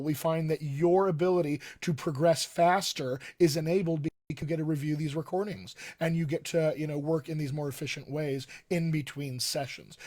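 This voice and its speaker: A man's voice: a little high, a little mellow. Upbeat American man coaching from his study. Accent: General American with light West Texas and upstate New York features. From Colorado. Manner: enthusiastic, gestures a lot, repeats key points. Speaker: dialect coach. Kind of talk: live-streamed coaching talk. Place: home study with bookshelves, indoors.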